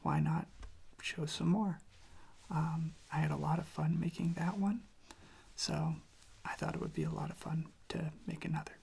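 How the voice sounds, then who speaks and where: An ASMR creator speaking slowly in a soft, hushed whisper close to the microphone, gently repeating phrases. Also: mid-range voice, steady tone, gravelly, husky. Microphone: close microphone propped on a desk.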